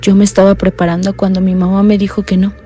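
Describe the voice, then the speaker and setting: An actress playing a blind young woman calling in to tell a frightening story, talking in a low, earnest, uneasy way. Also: completely flat delivery, fast talker, mellow, soft background music, close to an everyday voice. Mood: anxious. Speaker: caller. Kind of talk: radio drama acting.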